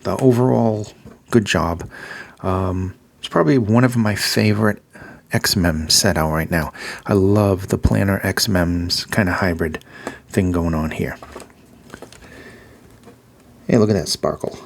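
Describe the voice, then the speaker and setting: A man with a Massachusetts accent giving a casual, rambling gear review, filling pauses with ums and uhs and repeating his praise. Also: sits low, vocal fry. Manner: filler-heavy, repeats praise words.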